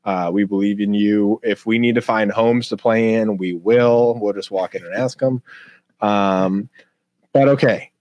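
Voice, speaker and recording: A man's voice: lowish voice, steady tone, unhurried, darkish tone, no room sound, lo-fi sound. A man hosting a podcast, chatting casually in a blunt, slangy and supportive way. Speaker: podcast host.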